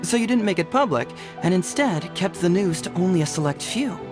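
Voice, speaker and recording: A sly young game detective, casual and sure of himself, faint music under. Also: male voice, high-pitched, lively intonation, a little fast. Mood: shrewd. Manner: casual, sly, self-assured. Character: breezy, clever, free-spirited young male detective. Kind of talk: English video game voice acting.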